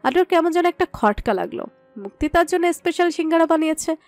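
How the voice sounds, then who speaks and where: A woman narrates a scripted story in a high-pitched voice. The sound is close and dry, with no room around it. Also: animated, fast, a little mellow, no room sound, faint music behind.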